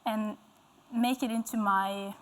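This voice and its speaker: A woman's voice: mid-range voice, steady tone, slow. A young woman musician with a Norwegian accent, a native Norwegian speaker answering interview questions hesitantly as she searches for words. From Norway, west coast. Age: young adult. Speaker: guest, musician. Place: indoor stage with dark curtains.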